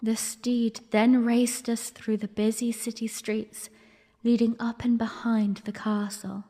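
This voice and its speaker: A young princess, played by a woman, tells her memories in an audio drama. Her voice is high and very unhurried, ladylike and lightly amused. It rings in a long hall reverb with some noise. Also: little pitch movement, mellow. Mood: warm, composed. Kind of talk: audio drama narration and dialogue.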